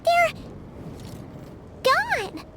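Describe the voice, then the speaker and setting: A woman voicing a small, anxious, childlike fairy companion in a video game, with a squeaky voice. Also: sing-song, very slow, full character voice. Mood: surprised. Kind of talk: scripted video game voice acting.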